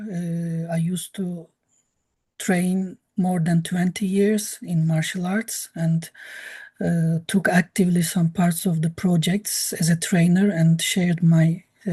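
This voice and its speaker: A woman's voice: lowish voice, mellow, slightly rough. A middle-aged woman presenting informally in non-native English, hesitant and searching for her words. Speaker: martial arts trainer, youth NGO worker.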